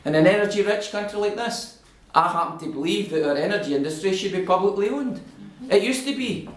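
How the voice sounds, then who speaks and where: A Scottish man in his fifties speaks to a local crowd with fiery conviction, his voice sitting a little high and climbing when he presses a point. The sound carries the echo of a community hall. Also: animated, fairly quick. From Scotland. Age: 50s. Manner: passionate, rising to emphatic oratory. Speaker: guest speaker. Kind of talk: political talk to a local audience. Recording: echoey room.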